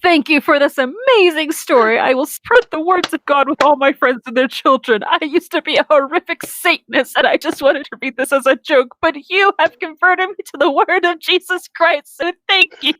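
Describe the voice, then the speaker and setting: A woman's voice: high voice, normal speed, hardly any pauses, a bit bright, dry recording, poor audio. A woman reading reviews aloud in an informal, casual way, stumbling now and then and correcting herself.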